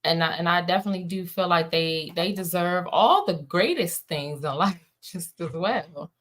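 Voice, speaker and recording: A woman's voice: fairly low pitch, animated, normal speed, a bit bright. A woman speaking as an interview guest in a casual, conversational style. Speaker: stage play writer and director, guest.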